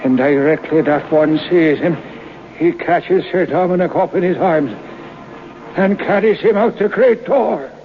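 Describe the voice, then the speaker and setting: Old male storyteller, radio horror: grim, suspenseful, unhurried, on thin phone-like vintage audio. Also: fairly high pitch, soft background music. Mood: grave, suspenseful. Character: old man storyteller recounting a grim ghostly legend. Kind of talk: radio horror drama narration. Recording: tinny, noisy old radio recording.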